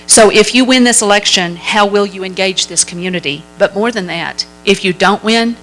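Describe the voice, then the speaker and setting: A woman from the audience asking the candidates a question, loud and friendly. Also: medium pitch, moderate pace, faint music behind, rough recording.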